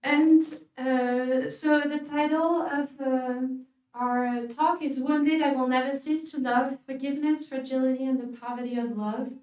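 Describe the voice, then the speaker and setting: A religious sister from France with a French accent, giving a humble, hesitant faith talk with many fillers. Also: female voice, sits high, steady tone, measured pace, darkish tone. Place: lecture hall. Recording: echoey hall, speaker far from the mic.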